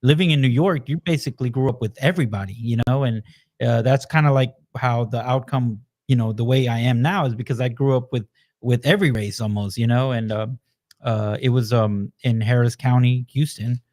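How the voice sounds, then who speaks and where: Male podcast host from Texas, mid-pitched and conversational, rambling and loose in his questions. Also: brisk, darkish tone. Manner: loose, rambling questions with many "you know"s. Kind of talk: casual podcast interview.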